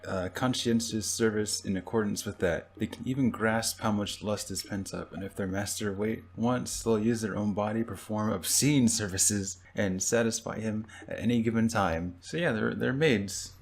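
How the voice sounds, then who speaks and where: Dry low-voiced young man, casual podcast. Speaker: podcast co-host. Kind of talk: casual chat and reading entries aloud. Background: faint music.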